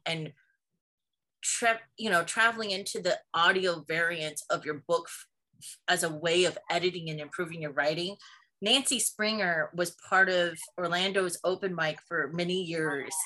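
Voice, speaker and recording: A woman's voice: on the low side, pushed and bright, poor audio. A woman co-hosting a podcast on an online call, chatting casually and repeating words as she thinks aloud. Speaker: podcast co-host.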